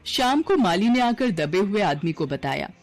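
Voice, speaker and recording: A woman's voice: mid-range voice, very animated, moderate pace, soft background music. A woman narrator reading a scripted story for a cartoon video.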